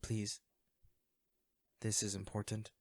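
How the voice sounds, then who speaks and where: A man acting in an audio roleplay as an anxious, self-deprecating young man, a caring old crush who talks haltingly and intimately to the listener. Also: fairly low pitch, monotone, no echo. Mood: nervous, hesitant. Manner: hesitant, halting, sighing.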